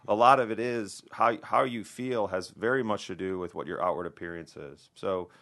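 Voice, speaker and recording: A man from the American Midwest, a plastic surgeon speaking as a podcast guest in relaxed casual conversation, full of restarts and fillers. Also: on the low side, normal speed. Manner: relaxed, conversational, many restarts and "you know".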